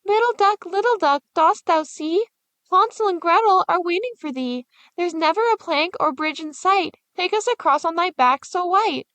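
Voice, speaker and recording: A woman's voice: very high voice, normal speed, hardly any pauses, fairly bright tone, no room sound, voice pushed up. A woman voicing a scared but brave little girl in a storybook reading, with a pleading, breathless manner. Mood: eager.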